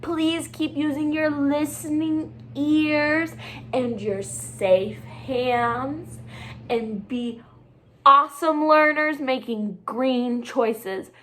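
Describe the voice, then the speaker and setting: A woman's voice: high-pitched, drawn-out words, a bit bright, a little hiss or hum. A woman teacher talking to young children in an encouraging, simple, child-directed way, as for a read-aloud.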